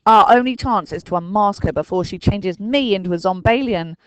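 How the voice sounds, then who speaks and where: A woman voicing an excitable, frightened young boy in a storybook, with a stammer when he is scared. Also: medium pitch, a little fast, clean tone, no room sound, natural voice. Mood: urgent.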